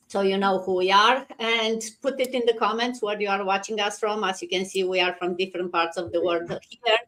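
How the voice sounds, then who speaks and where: A woman with a Romanian accent hosts a casual live online talk. Her voice sits a little high, and she speaks conversationally, pausing and picking her way through her points. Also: a bit bright, low-quality recording. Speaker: host of a live online business show.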